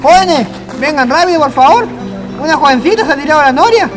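An actor playing a desperate town gardener in a radio play, pleading urgently. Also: male voice, extremely high pitch, lively intonation, fast, over music, rough recording.

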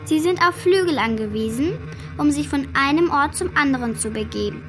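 A woman's voice: sits high, lively intonation, fairly quick. A woman reading scripted lore in a matter-of-fact reciting manner. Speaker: narrator, reader. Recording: voice under loud music.

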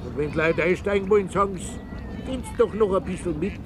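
A man's voice: high-pitched, fast, background noise, close to an everyday voice. A man with a Bavarian accent in a naturalistic radio play, playing a stubborn, aggrieved boat renter who complains. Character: grumbling, indignant small-time Bavarian boat renter.

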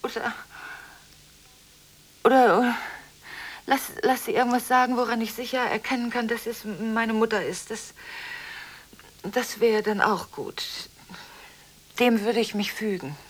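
An actress in a radio drama playing an emotionally torn daughter on the phone, halting and often near a whisper, on a hissy recording. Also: female voice, a little high. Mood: agitated, pleading. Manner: halting, stammering, pleading, breaking into whispers. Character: distraught, emotionally torn daughter on a late-night phone call. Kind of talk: 1980s German radio drama acting.

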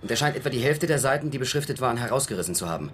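An actor playing a frank young American army lieutenant in a radio drama, deferential and matter-of-fact. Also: male voice, mid-range voice, animated, very fast, a bit bright, slight background noise, lightly acted. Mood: dutiful reporting. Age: young adult.

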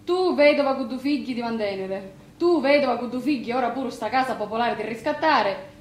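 An actress playing a bossy widowed sister at a wake in a stage drama, with a Sicilian accent. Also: female voice, a little high, lively intonation, fairly quick, reverberant, lightly acted. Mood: resigned, bitter. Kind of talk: stage drama acting in dialect.

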